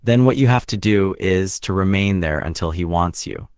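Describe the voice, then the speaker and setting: A man voicing an elderly, formal bank clerk who instructs and admonishes briskly, in an audiobook. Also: deep voice, darkish tone, smooth, dry recording, studio quality. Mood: instructing.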